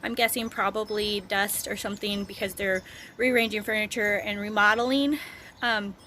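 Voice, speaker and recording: Hoarse chatty woman vlogging. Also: medium pitch, steady tone, moderate pace, sharp tone, slight background noise. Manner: chatty, rambling with many ums. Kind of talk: casual daily vlog to camera. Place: outdoors on a rural road, wind.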